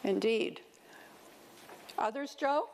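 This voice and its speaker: A woman with a fairly high, creaky voice leads an informal audience discussion. She takes her time and echoes back what people offer, with faint room noise behind her. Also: takes it slowly, a little mellow. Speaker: speaker leading audience discussion. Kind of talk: interactive informal presentation.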